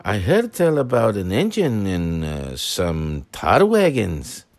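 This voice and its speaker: Casting: mild, musing engine, slow and dry, from a male storyteller. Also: on the low side, huge pitch swings, faint music behind, exaggerated voice. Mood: wry. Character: worried Scottish steam engine, male twin.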